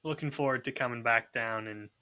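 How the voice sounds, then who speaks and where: A man's voice: medium pitch, a little fast, sharp tone. A man chatting casually and informally as a podcast co-host. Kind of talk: casual chat.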